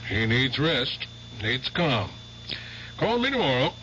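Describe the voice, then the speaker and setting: An actor playing an authoritative doctor in a radio drama, giving measured, folksy advice. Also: male voice, mid-range voice, sing-song, pushed and bright, full character voice. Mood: advising. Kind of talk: 1970s radio drama acting. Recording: dull old radio recording, faint hum.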